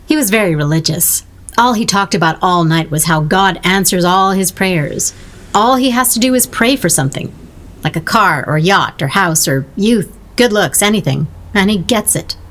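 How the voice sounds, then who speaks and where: An actress playing a wry, quippy woman friend in an audio drama, with a dry, deadpan delivery. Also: fairly low pitch, moderate pace. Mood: wry storytelling. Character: wry, bantering woman friend of a blocked painter.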